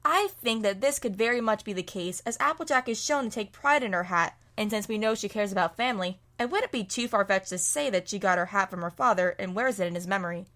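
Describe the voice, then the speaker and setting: A woman's voice: mid-range voice, quick pace, hardly any pauses, a bit bright. A woman giving scripted analysis commentary as a guest fan commentator.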